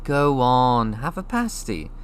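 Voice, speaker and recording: A man as an earnest, lively young boy. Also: medium pitch, slow pace, a little hiss or hum, pitched above the performer's own voice. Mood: generous. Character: young orphan boy, modest, earnest newcomer to magic.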